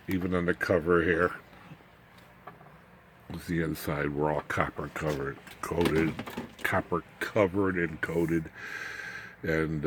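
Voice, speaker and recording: Man, low-pitched voice, folksy rambling explainer, informal hobbyist walkthrough, faint background noise, handheld camera audio. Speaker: electronics hobbyist host. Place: indoor home electronics workbench. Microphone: handheld camera or phone mic, off screen.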